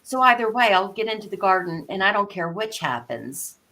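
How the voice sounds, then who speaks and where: A woman's voice: mid-range voice, big pitch swings, brisk, pushed and bright, rough recording. A woman playing a curious, polite young girl who talks to herself in wonder, in a storybook live read. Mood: sensible, determined.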